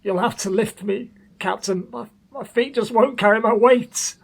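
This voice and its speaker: Man acting a husky, suffering frostbitten sailor. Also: high voice, moderate pace, exaggerated voice. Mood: pained, desperate. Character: suffering, exhausted frostbitten sailor, loyal but stubborn. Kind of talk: radio play acting.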